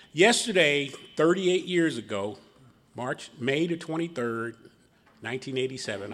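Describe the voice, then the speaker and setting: A man's voice: medium pitch, fairly bright tone. A middle-aged man from Philadelphia speaks with the accent of that city, telling his recovery story to a room of listeners. His talk is folksy and self-deprecating, and he chuckles at his own jokes. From Philadelphia, Pennsylvania. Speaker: guest speaker at a recovery meeting. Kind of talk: personal testimony speech. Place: meeting room before an audience.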